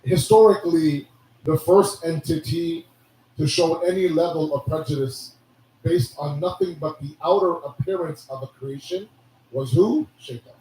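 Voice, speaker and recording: A hoarse man giving a sermon, preaching with animated rhetorical questions. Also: on the high side, unhurried. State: hoarse, losing his voice. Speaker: religious speaker. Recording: echoey hall from a distance.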